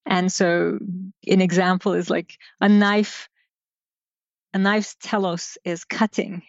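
A woman from Turkey speaking slowly and thoughtfully, with frequent pauses and fillers as she recalls her childhood. The room sounds dry and close. The recording is slightly dull and lo-fi. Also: lowish voice, level tone, vocal fry, no echo. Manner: unhurried, reflective, with many pauses and fillers. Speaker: guest designer. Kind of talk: casual interview storytelling.

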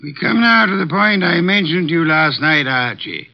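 A pompous, formal detective. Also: male voice, on the high side, lively intonation, normal speed. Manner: formal, pedantic, commanding. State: short of breath. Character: pompous stout male detective, precise and commanding. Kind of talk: 1950s radio drama acting. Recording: muffled old radio broadcast.

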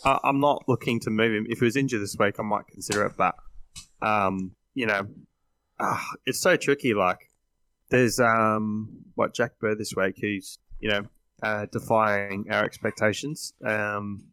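A man's voice: fairly low pitch, fairly even intonation, a little slow, fairly bright tone, clear, resonant tone, no echo. A man with an Australian accent talking casually as a panellist on a fantasy sports podcast.

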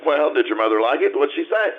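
An actor playing a kindly, curious man who asks gentle questions in old-time radio drama. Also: a little high, big pitch swings, fast talker, a little hiss or hum. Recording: tinny narrow-band radio show, loud music.

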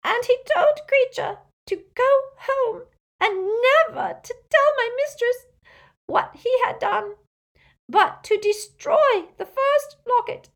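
A woman voicing a frightened, servile old male house-elf in an audiobook, tearful and halting as he speaks of himself in the third person. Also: extremely high pitch, sing-song, creaky, a bit bright, pitched above the performer's own voice, exaggerated voice. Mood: sobbing, anguished. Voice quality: tearful, moaning, breaking voice.

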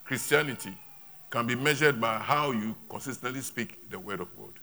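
A male preacher with a bright, forceful voice delivers a sermon in short emphatic bursts. He repeats his points and leaves pauses for an interpreter. The sound comes from a church service. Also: medium pitch, slight background noise.

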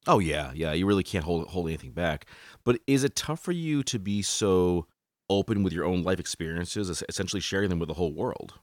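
A man's voice: sits low, expressive, fairly quick, a little mellow, no echo, studio quality. A man interviewing a singer, friendly and a bit hesitant, often repeating words. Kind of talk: casual interview questions.

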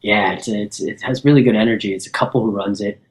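A man's voice: lowish voice, steady tone, fast. A man co-hosting a podcast over a remote call, chatty and rambling with many fillers. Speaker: podcast co-host. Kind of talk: casual podcast chat.

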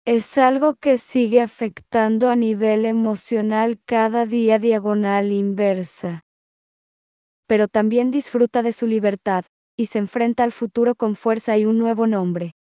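A woman reading a text aloud phrase by phrase, then repeating each phrase slowly and calling out the punctuation marks. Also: a little high, steady tone, mellow, no room sound, highs cut off.

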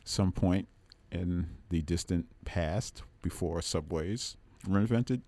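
A man talks as a musician guest on a radio show, rambling loosely and laughing often. His voice sits very low. The studio sound is dry and close. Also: takes it slowly, dark tone, dry recording. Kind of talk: casual radio chat. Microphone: studio microphone.

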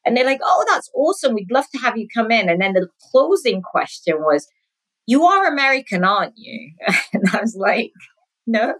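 A woman's voice: on the high side, big pitch swings, normal speed, fairly bright tone, rough recording. A woman in her forties, from Sudan with a British accent, chatting as a podcast guest and laughing often. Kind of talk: conversational interview.

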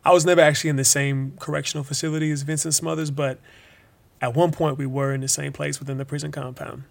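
An actor playing a weary but forgiving wrongfully imprisoned young man in an interview-style drama monologue, halting and informal, repeating words. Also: on the high side, little pitch movement, vocal fry. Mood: hesitant, reflective.

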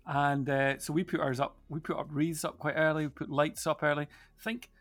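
A man's voice: a little high, flat delivery, normal speed, fairly bright tone. A man speaking as a church minister on a podcast panel, reflecting casually and hesitantly as he thinks aloud.

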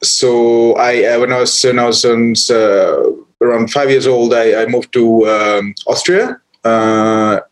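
A man from Sri Lanka speaks as a guest on a podcast. He talks in a halting way, circling back with restarts and fillers while he explains things. His voice sits at a middle pitch. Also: fairly even intonation. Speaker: photographer guest. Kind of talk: podcast interview.

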